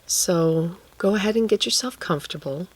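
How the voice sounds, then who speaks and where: A woman guiding a relaxation meditation, speaking gently and leaving long pauses between phrases. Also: fairly low pitch, low-quality recording. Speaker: guided meditation teacher.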